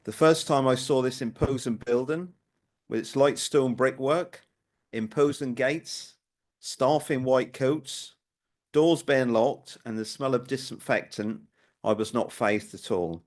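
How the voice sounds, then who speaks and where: An older man, in his sixties or beyond, with a Norwich accent, giving a prepared history talk in a measured, rhetorical way. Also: mid-range voice. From Norwich, England. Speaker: local history speaker.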